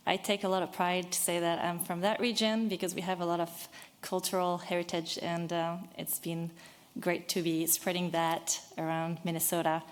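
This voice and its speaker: A woman's voice: on the low side, little pitch movement, normal speed. A woman in her thirties from France, originally from Brittany, speaking earnestly and a little nervously at a public meeting, with many fillers. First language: French. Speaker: business owner addressing a city board.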